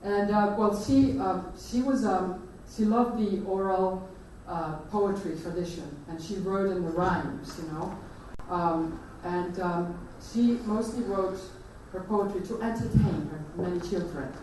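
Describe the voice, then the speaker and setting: A woman with an Icelandic accent gives a conference talk, pausing often and restarting her sentences. Her voice is mellow and dark. It rings through a large, echoey hall, picked up from a distance with faint noise behind. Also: mid-range voice. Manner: hesitant, many fillers and restarts. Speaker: conference speaker. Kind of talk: presentation talk.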